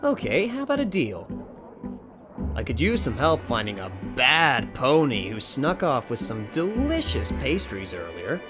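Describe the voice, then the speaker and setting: A man's voice: medium pitch, huge pitch swings, unhurried, music in the background. A man playing an earnest, dutiful pony captain of the royal guard in a radio drama, formal and pleading in manner. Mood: gently authoritative. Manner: formal, pleading, gentle with children. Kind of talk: fan radio drama voice acting.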